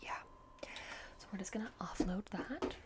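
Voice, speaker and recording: A woman doing a gentle ASMR roleplay as a reassuring technician, speaking in an unhurried, breathy whisper. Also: medium pitch. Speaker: ASMR roleplay performer playing a repair technician.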